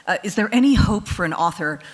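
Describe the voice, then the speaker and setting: A woman moderating a conference panel, brisk and keeping the time. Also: mid-range voice, lively intonation. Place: conference hall. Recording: reverberant conference hall.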